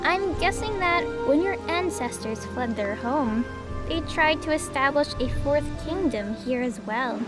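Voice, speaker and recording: A woman acts out a young lioness girl with a very high voice. She is friendly, eager and a bit socially awkward, chatting in a caring way. Music plays clearly behind her in this audio drama. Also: expressive, a little slow. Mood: curious. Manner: caring, chatty, flustered when self-conscious. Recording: background music under the voice.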